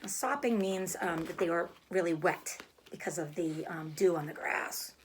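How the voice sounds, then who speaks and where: A woman's voice: lowish voice, moderate pace, slight background noise. A woman schoolteacher talking to young pupils during a read-aloud, explaining things simply. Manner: explanatory, addressing children simply.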